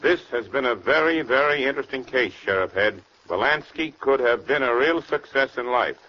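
An actor playing a moralizing police commissioner, speaking formally and solemnly in a scripted 1940s radio program. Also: male voice, medium pitch, animated, moderate pace, bright, forceful tone. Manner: formal, sententious. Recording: muffled old radio recording.

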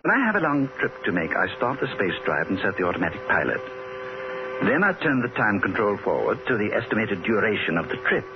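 An actor playing a courteous, precise alien emissary in a 1960s radio drama, genteel and mildly condescending. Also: male voice, lowish voice, big pitch swings, a little fast. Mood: patiently explaining. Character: polite, mild alien emissary bent on pruning humanity. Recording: dull, hissy 1960s radio recording.

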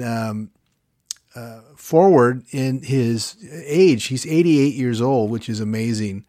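A man's voice: mid-range voice, dry recording, studio quality. A man hosting an interview show, talking in a chatty, enthusiastic way with plenty of fillers as he promotes upcoming episodes. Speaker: podcast host.

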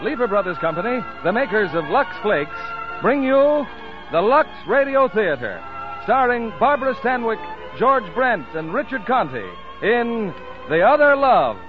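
A thin, narrow-band vintage broadcast with music behind a male announcer. His voice is very high and animated, grand and emphatic in formal 1940s style. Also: unhurried. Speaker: radio announcer. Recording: tinny 1940s radio broadcast.

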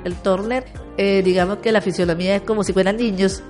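A woman's voice: medium pitch, fairly even intonation, a little fast, a little mellow, faint music behind. A woman, a guest in an interview, talking in an earnest, upbeat way and explaining at length. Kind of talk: conversational interview.

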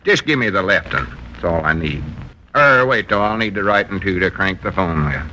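A man's voice: low-pitched, expressive, normal speed, sharp tone. An actor playing a self-important small-town businessman in a 1940s radio comedy, with a folksy rural Southern American drawl and a dismissive manner. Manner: pompous, dismissive. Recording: noisy vintage 1940s radio recording.

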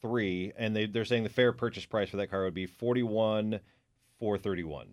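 A man in casual podcast chat, calm and measured as he reads out figures. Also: lowish voice.

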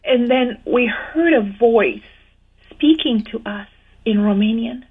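Female interview guest, earnest measured storyteller, husky voice over a phone-like line. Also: on the high side. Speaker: guest telling her story. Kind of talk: personal testimony in an interview. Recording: tinny phone-line sound.

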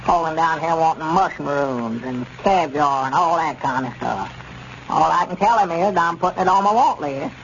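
High-voiced folksy old country storekeeper. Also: male voice, expressive, moderate pace, a bit bright. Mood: grumbling. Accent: rural Southern US. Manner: homespun, plain-spoken, grumbling. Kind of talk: 1930s radio comedy acting. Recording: dull, noisy 1930s radio recording.

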